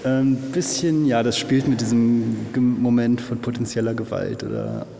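A man's voice: medium pitch, lively intonation, moderate pace, vocal fry, a crowd in the background. A man explaining his artwork in a casual way, with many fillers. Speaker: artist explaining his work. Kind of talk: interview explanation. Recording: reverberant hall, faint noise.

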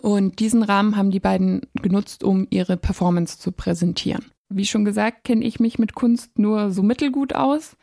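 A woman's voice: medium pitch, steady tone, moderate pace, creaky, few pauses, mellow, no room sound, studio quality. A woman with a German accent, a native German speaker, presenting an arts report on the radio in a scripted style. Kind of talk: scripted radio narration and interviewing.

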